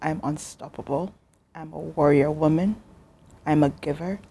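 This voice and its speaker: Woman interview guest, very low voice, casual with filler words. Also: steady tone, moderate pace, mellow, slight background noise. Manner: casual, hesitant with fillers, affirming. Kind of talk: interview conversation.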